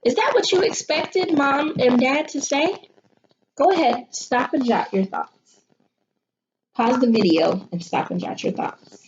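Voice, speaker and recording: An animated woman teacher reads a picture book to children in a high, swooping voice. She takes her time, thinking aloud and asking questions. The recording is a bit muffled, with noise behind her. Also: a little slow. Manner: expressive, thinking aloud, questioning young listeners. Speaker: elementary school teacher. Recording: muffled audio with clear background noise.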